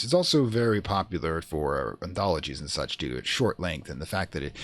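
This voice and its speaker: A man's voice: low voice, fairly bright tone, no room sound. A man hosting a radio theatre show, talking casually to viewers in a wordy, slightly hesitant way.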